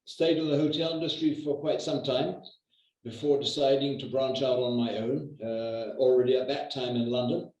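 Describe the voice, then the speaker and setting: An older man from New Zealand, a real estate agent, talking in a measured way with lots of hesitations. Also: medium pitch, fairly even intonation, a little mellow. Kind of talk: conversational interview. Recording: echoey distant muffled video call.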